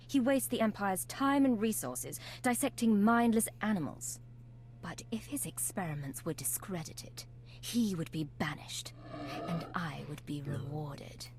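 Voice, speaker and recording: A woman's voice: mid-range voice, a little mellow. A woman playing a haughty, ambitious young Sith apprentice who schemes with disdain, in a video game. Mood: contemptuous, then conspiratorial. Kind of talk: video game character acting.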